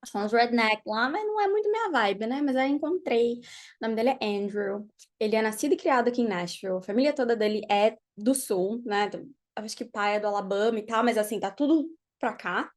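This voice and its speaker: A young Brazilian woman, a podcast guest in a studio, tells her story in a relaxed, animated way and laughs often. Her voice sits a little high. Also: fairly quick. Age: young adult. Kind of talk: casual interview chat.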